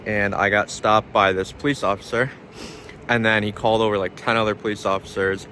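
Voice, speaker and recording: A man with a low voice chats casually as if updating a vlog. His delivery is very flat and plain-spoken, with little rise or fall. Clear ambient noise sits behind him. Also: brisk. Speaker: vlogger, pro hockey goaltender. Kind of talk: casual vlog talk to camera.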